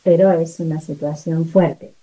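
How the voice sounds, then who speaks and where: A woman's voice: low-pitched, fairly even intonation, measured pace, dark tone, rough recording. A woman missionary nun giving spontaneous interview testimony in an earnest, reflective way.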